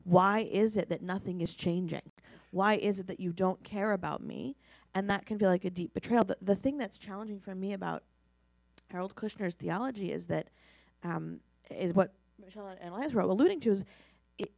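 An American woman talks through her thoughts in a study-class discussion. Her delivery is conversational, personal and emphatic, with a medium pitch. The sound is dry, with very little room around the voice. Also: moderate pace, vocal fry, a little mellow, no room sound, muffled recording. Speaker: participant in a Talmud class discussion. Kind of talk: reflective spoken discussion in a religious study class.

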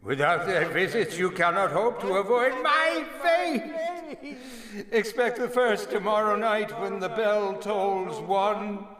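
An actor playing the mournful, ominous ghost of a dead banker in a theatrical radio drama, with wailing, drawn-out, solemn pronouncements. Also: male voice, high-pitched, crowd noise. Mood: grave warning. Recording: cavernous long reverb with music behind.

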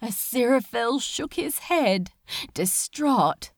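Woman reading fan fiction aloud, slow and dramatic, solemn, close dry sound. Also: a little high, animated, no room sound. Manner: solemn, emotive. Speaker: audiobook narrator.

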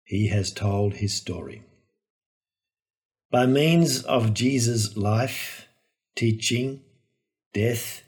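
A man giving a solemn, reflective scripted sermon in measured, deliberate phrases. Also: fairly low pitch. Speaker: preacher giving a Gospel homily.

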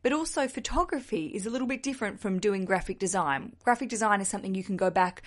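A woman's voice: mid-range voice, quick pace. Chatty Australian woman podcast host. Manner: chatty, jokey and candid, with quoted asides. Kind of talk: solo podcast chat.

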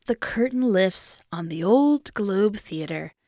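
A woman's voice: medium pitch, expressive, takes it slowly, dark tone, no echo, studio quality. A woman hosting a podcast, reading scripted introductions with a playful, theatrical turn of phrase. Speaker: podcast host.

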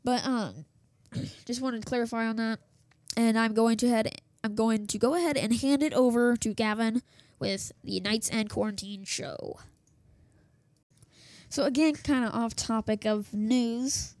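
A woman's voice: on the high side, measured pace, a little mellow, no echo, studio quality. Chatty woman host with a worn voice. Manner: chatty, self-interrupting, many fillers and restarts. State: losing her voice. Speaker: host of a school radio news show. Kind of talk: casual rambling radio chat. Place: home office. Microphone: desktop setup with small soundboard.

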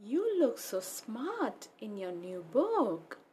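Storybook mum, female reader: caring, patient, soothing, very slow delivery. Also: a little high, wildly swooping pitch, a little mellow, breathy edge, pitched below the performer's own voice, heavily acted. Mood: tender. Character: gentle, caring mother of a young puppy. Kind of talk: children's storybook read-aloud.

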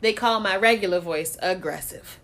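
A woman's voice: on the low side, moderate pace, fairly bright tone. A woman talking candidly in a casual chat, blunt and swearing freely, often breaking into laughter.

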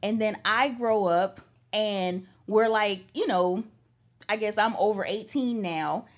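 A woman from Trinidad telling personal stories in a candid, animated way during a casual panel chat, laughing often. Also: medium pitch, slow. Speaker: panel guest.

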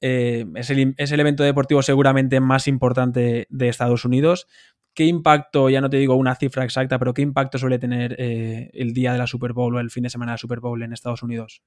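Male podcast host, flat steady tone, dry close sound. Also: mid-range voice, fast, no room sound. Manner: affirming listener, short backchannels. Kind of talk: podcast interview.

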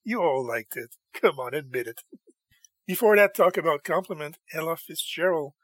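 A man hosting a music show in a casual solo monologue, enthusiastic and chatty, his voice slightly hoarse from talking. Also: fairly high pitch, lively intonation, normal speed, a bit bright, dry recording, rough recording. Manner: enthusiastic and chatty, with frequent laughs.

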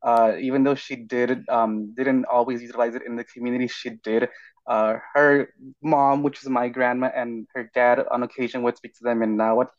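A man raised bilingual in English and Spanish, giving measured, reflective answers as an interview guest. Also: medium pitch, little pitch movement, dry recording. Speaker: podcast guest, language learner. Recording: dull, lo-fi voice recording.

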